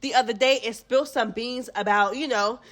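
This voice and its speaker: Stuffy-nosed gossip host lady, high pitched, chatty and slangy. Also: brisk. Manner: chatty, animated, slangy, calls listeners girl and baby. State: stuffy nose from a cold. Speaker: host of a reality TV gossip channel. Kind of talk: casual gossip recap.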